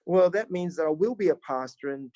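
Higher-voiced Australian man chatting. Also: expressive, a little fast, dark tone, no room sound. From rural South Australia. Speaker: podcast guest, pastor. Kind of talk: relaxed conversational storytelling.

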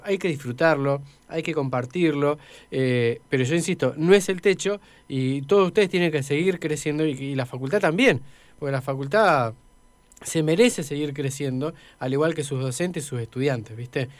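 Man, Argentine accent, fairly high voice, dry sound, emphatic and repetitive, motivational radio co-host. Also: normal speed, no room sound. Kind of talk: conversational radio interview.

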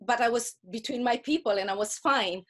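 A woman's voice: on the high side, a little fast, fairly bright tone. A woman with a Russian accent, a guest talking earnestly in a casual interview and often repeating words as she starts a point.

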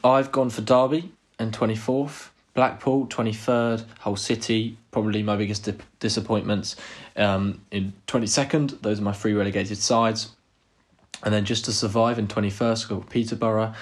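A man's voice: lowish voice, steady tone, normal speed. A man co-hosting a podcast, chatting casually in an agreeable way and often restarting his sentences. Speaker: podcast co-host. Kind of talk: casual football chat.

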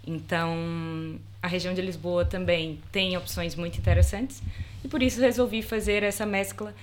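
A woman from Salvador, Bahia, with a Brazilian accent, a lawyer guesting on a casual podcast and chatting in a relaxed, anecdotal way. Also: fairly low pitch, moderate pace, a little hiss or hum. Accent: Brazilian Portuguese, Bahia. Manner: relaxed, chatty, laughs easily, tells anecdotes. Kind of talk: casual chat.

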